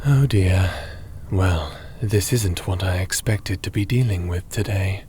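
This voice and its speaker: Man acting a slow, deep, gentle fantasy companion. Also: a little hiss or hum. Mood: resolved, gentle. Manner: measured, soothing, slightly formal, sometimes breathy near-whisper. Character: Tyr, gentle, cautious, deliberate male fantasy companion. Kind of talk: ASMR fantasy roleplay, addressing the listener. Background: music.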